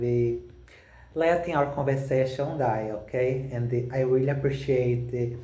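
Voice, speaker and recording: A man with a Brazilian accent, a native Portuguese speaker practicing spoken English, talking haltingly with many restarts and fillers. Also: mid-range voice, dark tone, roomy sound. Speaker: language learner.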